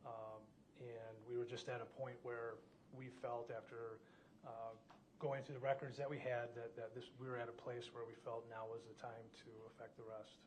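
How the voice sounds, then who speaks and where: Male American detective testifying, hesitant and formal, little pitch movement, slight noise in the room. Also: flat delivery, moderate pace, poor audio. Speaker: police detective testifying as witness. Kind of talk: courtroom testimony. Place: courtroom.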